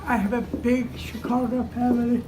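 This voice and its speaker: A man's voice: very high voice, level tone, normal speed, noisy background. An older man, a retiring physician, earnestly thanking colleagues and family in a party speech. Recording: distant mic with loud music.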